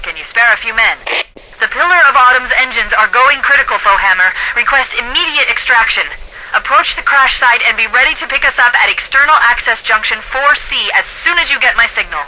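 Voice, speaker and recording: A female AI companion from a military video game. Her voice is high and very bright, with a crisp, authoritative edge. She delivers tactical orders with poise and confidence, over faint background noise. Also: rough recording. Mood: urgent, alarmed. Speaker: tactical AI giving orders and briefings. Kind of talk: video game voice acting, military radio dispatches.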